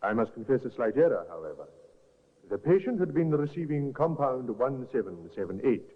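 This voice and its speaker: An actor playing a careful, formal doctor giving evidence in a 1950s radio drama. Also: male voice, medium pitch, sing-song, moderate pace, slightly rough. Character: careful, apologetic male doctor witness. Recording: tinny old radio broadcast with reverb.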